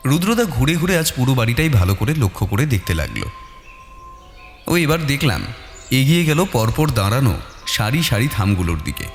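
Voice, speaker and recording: A man narrating a detective story in the first person with expressive, dramatic delivery. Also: lowish voice. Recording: long reverb, music bed. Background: soft music.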